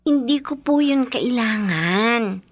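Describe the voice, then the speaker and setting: A woman's voice: on the high side, lively intonation, takes it slowly. An actress playing a patient, dutiful schoolgirl daughter in a Tagalog radio drama, with a Filipino accent. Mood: caring, gently firm.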